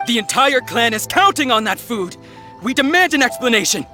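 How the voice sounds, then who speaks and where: A man's voice: very high-pitched, sing-song, normal speed, bright, forceful tone, soft background music, full character voice. An actor playing an aggrieved, bitter village clansman who speaks indignantly in a video game. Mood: outraged. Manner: indignant, demanding. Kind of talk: video game cutscene dialogue.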